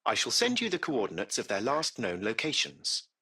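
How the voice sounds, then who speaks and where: A man's voice: medium pitch, huge pitch swings, moderate pace, vocal fry, pushed and bright, breathy edge, full character voice. A man voicing a courteous, composed artificial intelligence that runs a taxi fleet, speaking in formal, precise, butler-like phrases for a video game. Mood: courteous, pleased. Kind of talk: scripted video game voice acting.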